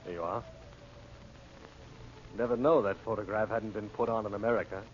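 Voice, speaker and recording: A man's voice: on the low side, fairly even intonation, normal speed, natural voice. An actor playing a cocky, wisecracking impostor and reluctant henchman in an old radio drama, breezy, slangy and sardonic. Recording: dull, noisy old radio recording.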